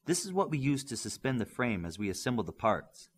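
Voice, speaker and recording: A man's voice: mid-range voice, very animated, a little fast, husky. A man voicing an earnest, modest young male superhero with a questioning manner in an audiobook. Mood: earnest, explaining.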